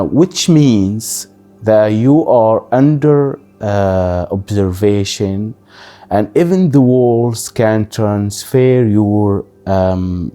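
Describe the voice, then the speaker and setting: A man, recounting his life story in an interview in a halting, thoughtful way with many pauses. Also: on the low side, dark tone. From Syria. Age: 30s.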